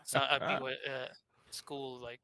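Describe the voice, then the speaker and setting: A man's voice: medium pitch, level tone, a little slow, gravelly, bright, forceful tone. A man from Argentina with a thick Argentine Spanish accent, a game developer talking casually with hesitant fillers. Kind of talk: casual interview chat.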